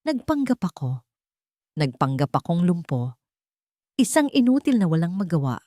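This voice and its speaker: Casting: female reader as calm, grave wronged wealthy man in a romance audiobook, guarded delivery, dry studio sound. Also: lowish voice, normal speed, no echo. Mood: grave, confiding. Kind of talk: dramatic audiobook dialogue.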